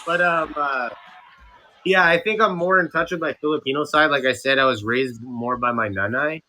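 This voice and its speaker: A man's voice: on the high side, normal speed, pushed and bright. A young man from Canada, a streamer guest chatting in an interview, rambling and restarting with lots of fillers. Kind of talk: casual interview chat.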